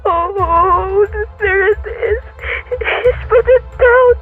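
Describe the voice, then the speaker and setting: Through tinny, phone-like audio with music comes a woman acting a very high-pitched invalid wife. She is nervous and demanding, stammering and pleading as in a radio thriller. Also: takes it slowly. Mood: frantic pleading.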